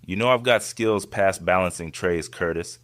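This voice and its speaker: An actor playing a flirty, devoted restaurant server in an audio drama, soft-spoken, playful and earnest. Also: male voice, low voice, moderate pace, dry recording, clean studio sound. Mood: earnest, tender.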